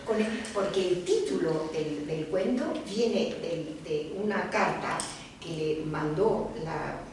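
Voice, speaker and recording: Female panelist, low and meandering, distant reverberant room with faint noise. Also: slow, darkish tone. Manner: meandering, self-correcting retelling. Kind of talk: round-table talk. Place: lecture room. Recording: echoey room from far off.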